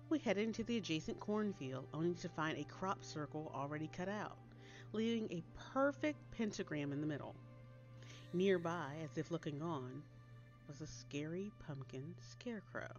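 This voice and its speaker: American female storyteller, low and lively, dramatic audiobook read over prominent music. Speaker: author reading her own short story. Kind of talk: expressive audiobook story narration. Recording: dull lo-fi audio under loud music.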